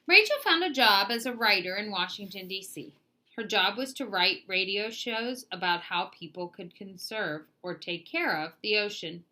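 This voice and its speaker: A woman reading a children's nonfiction book aloud, phrasing it carefully in short, clear pieces. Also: medium pitch, pushed and bright. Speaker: read-aloud narrator.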